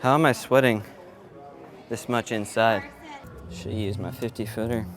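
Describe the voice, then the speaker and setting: A man's voice: lowish voice, steady tone, measured pace, music in the background. A man working as a live sound engineer, giving casual running commentary as he works, muttering to himself and exclaiming. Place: event venue during setup. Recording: noisy on-location vlog audio with clatter.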